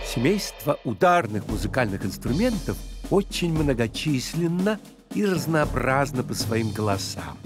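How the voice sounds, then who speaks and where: An actor playing a courteous maestro guide, narrating an educational children's cartoon expressively. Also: male voice, mid-range voice, natural voice.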